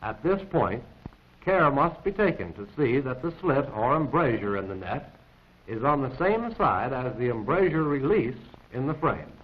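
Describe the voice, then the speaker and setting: Male narrator for a 1940s instructional film: formal, precise, impersonal script reading with animated inflection, muffled vintage soundtrack. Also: medium pitch, moderate pace.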